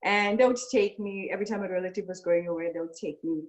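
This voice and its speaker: A woman's voice: lowish voice, quick pace. A woman flight instructor talking hesitantly over a video call, with many fillers. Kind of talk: casual chat.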